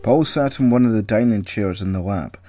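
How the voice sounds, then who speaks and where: A man's voice: lowish voice, a little fast, mellow, soft background music. A man reading a scripted audio story as its narrator.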